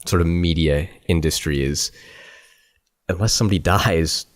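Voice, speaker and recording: A man hosting a podcast interview, asking questions in a quick, chatty, rambling way. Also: sits low. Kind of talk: casual interview chat.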